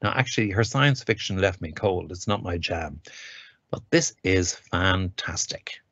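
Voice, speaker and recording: A man's voice: low voice, lively intonation, unhurried, fairly bright tone, no room sound. A man giving an academic lecture from a home study over a webcam, speaking with enthusiasm and pointed emphasis. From Ireland. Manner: enthusiastic, reads quotes aloud with emphasis. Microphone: webcam on a video call. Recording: dull lo-fi video call audio, dry.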